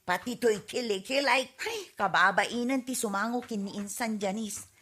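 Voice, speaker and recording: Woman acting a sharp, nagging Ilocano auntie. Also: a little high, normal speed. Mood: nagging, griping. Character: nagging, complaining wife and auntie in a poor family. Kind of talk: Ilocano comedy radio drama acting.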